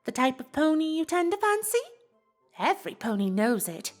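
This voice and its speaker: A woman's voice: high-pitched, sing-song, moderate pace, soft background music. A woman voicing an elegant, teasing female pony in a dramatized story reading, with an affected, refined delivery.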